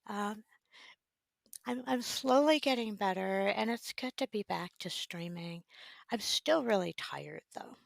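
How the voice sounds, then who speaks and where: A woman hosting a casual art livestream, her voice raspy as she recovers it, talking in a relaxed, halting way with many ums. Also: mid-range voice, dry recording. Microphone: desk microphone.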